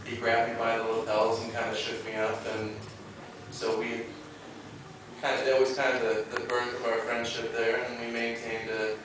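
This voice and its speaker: A man answering audience questions as a film director, speaking informally and haltingly, often repeating phrases. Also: medium pitch, completely flat delivery. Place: movie theater Q&A. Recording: noisy, very echoey theater, far from mic.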